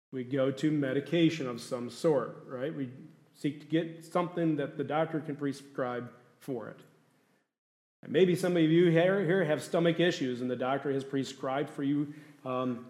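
A man's voice: on the high side, darkish tone. A male pastor preaching a sermon in a conversational way, often asking the congregation questions. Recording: echoey room.

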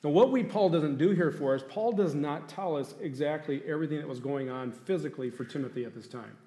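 Conversational pastor in an echoey room. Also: male voice, fairly high pitch, a little fast, mellow. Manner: conversational, asks the congregation questions. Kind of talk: sermon.

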